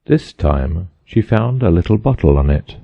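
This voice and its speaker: A man's voice: low voice, big pitch swings, moderate pace, gravelly, lo-fi sound. A man reading a children's storybook as scripted audiobook narration. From United States.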